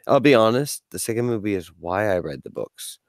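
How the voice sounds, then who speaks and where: A man's voice: on the low side, animated, a little fast, no room sound, studio quality. A man in his forties hosting a casual podcast chat, rambling and restarting often, laughing easily. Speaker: podcast host.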